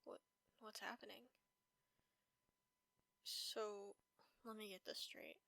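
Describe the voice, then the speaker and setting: Woman playing a soft-spoken, creaky-voiced caring vampire roommate. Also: mid-range voice, steady tone, slow pace, dry recording, full character voice, poor audio. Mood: sympathetic. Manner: gentle, concerned, reassuring. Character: caring vampire roommate comforting a sleepless friend. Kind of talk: soft-spoken comfort audio roleplay. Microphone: close, dry microphone.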